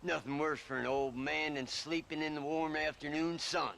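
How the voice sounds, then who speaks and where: An actor playing a lazy, wily old ranch hand in a western game, grumbling in a folksy, creaky American drawl. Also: male voice, a little high, little pitch movement, normal speed, fairly bright tone, slight background noise. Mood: grumbling, wheedling. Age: older. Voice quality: creaky, gravelly. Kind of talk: western video game voice acting.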